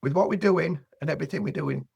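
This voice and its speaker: Hoarse yorkshire farmer guy, gravelly and raspy, says it straight. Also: a little high, fast talker, darkish tone. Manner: plain-spoken, no-nonsense. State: hoarse, losing his voice. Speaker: farmer guest. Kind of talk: blunt casual chat.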